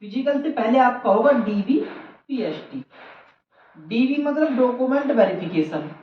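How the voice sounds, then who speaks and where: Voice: medium pitch, expressive, measured pace. Echoey dull recording, youtube host explaining exam steps, repeats key points, bit of noise.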